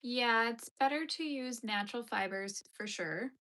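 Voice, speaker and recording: A woman's voice: fairly high pitch, measured pace, fairly bright tone. A woman in her thirties chatting casually while she knits, rambling and full of fillers as she answers viewers. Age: 30s. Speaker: knitting channel host. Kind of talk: casual chat while knitting.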